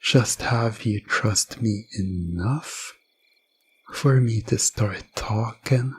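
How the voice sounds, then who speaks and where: Man acting very deep, slow sinister hypnotist. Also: slight background noise. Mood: coaxing, hypnotic. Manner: slow, hushed, coaxing and commanding. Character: sinister, gloating male hypnotist serving a game master. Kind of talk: hypnosis ASMR audio drama.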